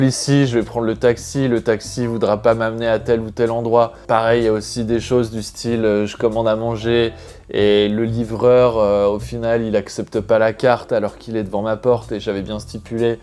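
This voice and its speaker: A man's voice: on the low side, a little mellow. A man from France talking to his viewers in a casual vlog, chatty and thinking aloud as he rambles from point to point. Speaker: expat vlogger.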